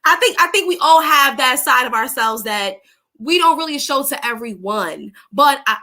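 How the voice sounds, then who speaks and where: A woman's voice: sits high, lively intonation, moderate pace, pushed and bright. A woman with a New York accent hosting a casual live chat, hyping up listeners and repeating her phrases. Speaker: host of a live show.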